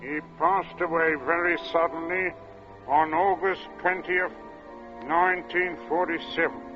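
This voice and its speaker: A man acting as a heat-worn, chatty working-class gravestone carver in a 1940s-style radio drama, with folksy, unhurried talk. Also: on the high side, fairly even intonation, over music, natural voice. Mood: solemn, deliberate. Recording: muffled old radio drama recording.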